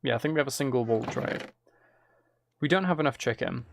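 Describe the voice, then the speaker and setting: A man with a gravelly, creaky edge to a mid-pitched voice talks himself through a video game. He muses casually and hesitantly as he plans aloud. Faint noise with keyboard typing and clicks sits behind him. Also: a little fast. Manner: musing, self-talking, hesitant planning. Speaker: gaming streamer. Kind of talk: casual gameplay commentary, thinking aloud. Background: faint noise, computer keyboard and mouse clicks.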